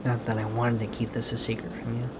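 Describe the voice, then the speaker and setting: Man acting as gentle killer boyfriend, slow hesitant delivery, flat even pitch, intimate ASMR roleplay, loud background rumble. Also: close to an everyday voice. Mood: guilty, hesitant. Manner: hesitant, halting, apologetic, soft-spoken. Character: gentle, guilt-ridden serial killer boyfriend and father.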